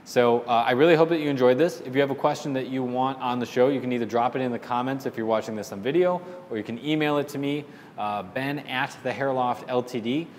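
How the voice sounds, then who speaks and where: A man hosting a question-and-answer show, giving informal, opinionated and explanatory advice off the cuff. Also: fast talker. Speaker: host answering listener questions on salon business. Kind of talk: casual unscripted business advice. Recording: roomy reverberant hall, low rumbling noise.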